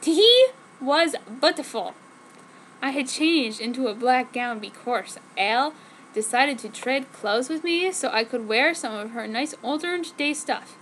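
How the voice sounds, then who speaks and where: A high-pitched woman reads amateur fiction aloud in a mock-serious, theatrical way. Her delivery is exaggerated and dramatic. There is some noise behind her on the recording. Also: animated, unhurried, a bit bright, rough recording. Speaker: amateur fanfiction reader. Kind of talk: exaggerated dramatic story reading. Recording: noticeable background noise.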